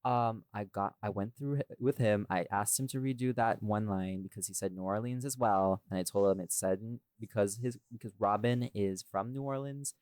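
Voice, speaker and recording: A man's voice: on the low side, normal speed, few pauses, darkish tone, clear, resonant tone, no room sound. A man co-hosting a casual, chatty podcast discussion, speaking emphatically and repeating phrases for stress. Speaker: audio series creator and podcast co-host.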